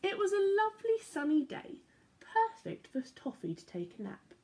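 A young woman reading picture books aloud to children from her living room, playful and expressive. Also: extremely high pitch, moderate pace. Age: young adult. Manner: animated, playful read-aloud with sound effects. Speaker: church children's storyteller. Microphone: laptop or phone camera at arm's length.

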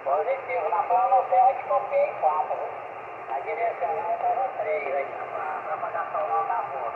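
A man's voice: high-pitched, moderate pace, gravelly. A Brazilian man in a casual two-way radio chat, repeating himself and ending phrases with short tag questions. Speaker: amateur radio operator. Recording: muffled, noisy radio setup, room echo.

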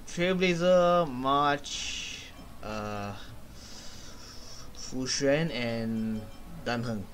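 A young man giving live commentary on a game he plays in a small room, halting as he thinks aloud. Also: mid-range voice, fairly bright tone, a little hiss or hum. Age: young adult. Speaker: game streamer.

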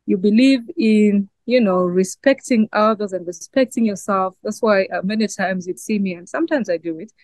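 A woman's voice: medium pitch, moderate pace, hardly any pauses, darkish tone, no echo. A young Ugandan woman journalist with a Swahili-influenced East African accent, answering interview questions thoughtfully with many fillers over a video call. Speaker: guest journalist. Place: outdoors by a garden.

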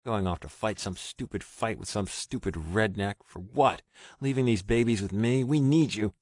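A man voicing a nervous young man who talks in a rushed, frantic way and stammers. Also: lowish voice, a little mellow, no echo, studio quality, lightly acted. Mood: muttering.

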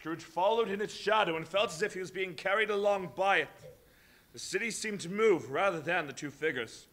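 Male stage narrator, measured delivery, bright and fairly high voice. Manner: measured storytelling. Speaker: narrator and actor. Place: large hall with audience.

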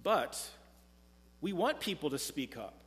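A middle-aged man preaching a sermon from a pulpit, earnest and measured, slowing down when reading scripture. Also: a little high, big pitch swings, fairly bright tone. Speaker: pastor. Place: church sanctuary, speaking from a pulpit. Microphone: pulpit mic, far camera. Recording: long church reverb.

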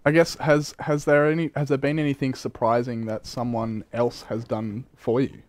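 A man's voice: mid-range voice, flat delivery, normal speed, no room sound. An Australian man hosting a church livestream panel, asking questions in an encouraging way into a handheld mic. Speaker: host. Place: church studio set, indoors. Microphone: handheld microphone.

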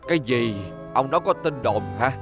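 A man's voice: medium pitch, expressive, moderate pace, over music, close to an everyday voice. A man voicing a modest, fretful elderly shopkeeper in a children's cartoon dub. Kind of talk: animated children's dub acting.

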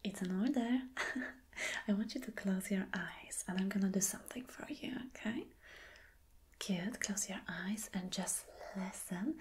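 A woman in her thirties playing a flirty, soothing boss in a soft, breathy, whispered ASMR roleplay, gentle and coaxing. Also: medium pitch, close to an everyday voice. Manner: gentle, coaxing, slow and deliberate. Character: flirty, soothing female boss reassuring an employee. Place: small dim indoor room.

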